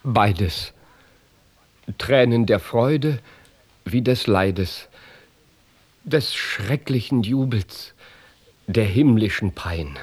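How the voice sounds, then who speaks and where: A man's voice: fairly low pitch, expressive, drawn-out words, pauses often, breathy edge, no echo, full character voice. A man playing a pious, lovestruck, conscience-torn knight, declaiming rhymed verse solemnly in an audio drama. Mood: anguished joy.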